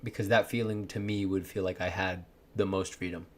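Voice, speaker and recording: A man's voice: low voice, steady tone, fairly quick, a little hiss or hum. A young man in casual chat, rambling earnestly about grinding for his goals, swearing often and laughing easily. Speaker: content creator.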